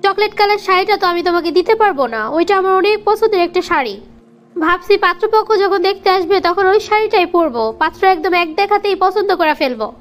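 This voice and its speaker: An extremely high, girlish cartoon girlfriend played by a woman with a Bangladeshi accent. She talks very quickly, nagging and reproachful in a sulky breakup, with music underneath. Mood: petty, insistent. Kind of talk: cartoon comedy dialogue.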